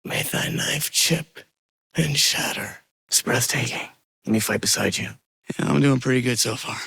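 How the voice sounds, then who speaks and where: An actor playing a grave, earnest young noble warrior in a game, speaking slowly in a hushed, husky voice. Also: male voice, medium pitch, exaggerated voice. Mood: solemn. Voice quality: husky, breathy. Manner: slow, hushed, solemn. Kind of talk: video game character voice lines.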